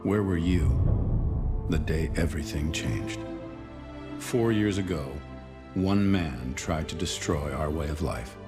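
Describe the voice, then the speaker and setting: Deep solemn promo narrator, deliberate pace, resolute, dramatic, loud music underneath. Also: male voice, expressive, full character voice. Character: solemn, resolute male corporate propaganda narrator.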